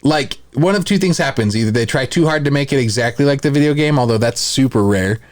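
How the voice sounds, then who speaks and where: A man's voice: mid-range voice, expressive, fast, no room sound. Dry-recorded male podcast co-host chatting. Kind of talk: casual opinionated podcast chat.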